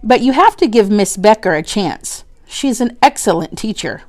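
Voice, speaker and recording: A woman playing a kindly, sensible woman schoolteacher who is gently firm, in a storybook reading. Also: mid-range voice, expressive, normal speed, close to an everyday voice. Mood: reassuring.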